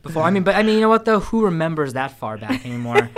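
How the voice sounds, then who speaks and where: An excitable, teasing podcast host bantering casually with a group of friends. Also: medium pitch, quick pace, a crowd in the background.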